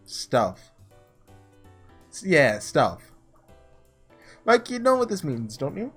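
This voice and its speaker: A man doing a casual let's play, reading story text aloud and breaking off into excitable asides, with game music behind him. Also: on the high side, takes it slowly, slightly rough. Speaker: gaming video creator doing a blind playthrough.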